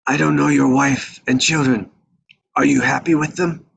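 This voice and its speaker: Aged mob patriarch, performed by a man: weary, forgetful, husky, creaky voice, rambling delivery. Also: mid-range voice, fairly even intonation, a bit bright, full character voice. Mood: reflective. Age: older. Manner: rambling, halting. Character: weary, forgetful old mafia patriarch, male. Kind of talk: live table read of a crime film script.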